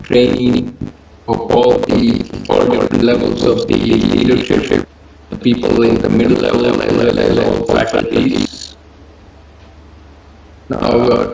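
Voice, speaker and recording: Gravelly man lecturing in an echoey room. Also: mid-range voice. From Gujarat, India. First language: Gujarati. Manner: measured, listing points one by one. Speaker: lecturer on education policy. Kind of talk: presentation lecture. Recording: echoey room with loud background hiss.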